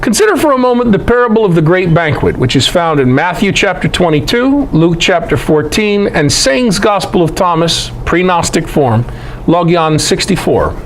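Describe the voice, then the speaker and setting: A man's voice: high voice, very animated, moderate pace, a little hiss or hum, low-quality recording. A man giving a conversational Bible lecture to a group, emphatic, posing rhetorical questions.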